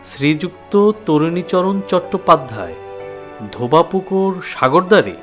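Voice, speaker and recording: Gentle young lawyer, lively intonation. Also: male voice, on the high side, unhurried, soft background music. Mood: gentle, probing. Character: gentle educated young lawyer husband, patient, probing. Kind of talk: Bengali audio drama acting.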